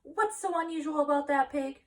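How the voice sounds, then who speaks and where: Woman playing a skeptical, high-pitched farmer's wife. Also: normal speed, recorded from a distance, lightly acted. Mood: bewildered. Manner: incredulous, no-nonsense.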